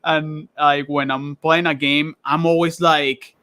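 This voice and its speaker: A man's voice: on the high side, little pitch movement, slow, pushed and bright, clean tone, no echo. Laughing Venezuelan-born man, close and dry. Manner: laughs often, many restarts and fillers. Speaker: guest game designer. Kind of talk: casual podcast interview.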